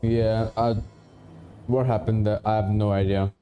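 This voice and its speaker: A man's voice: lowish voice, little pitch movement, measured pace, clear, resonant tone, muffled recording. A man co-hosting a game stream, giving casual commentary in a dry, blunt way. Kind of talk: casual live gameplay commentary.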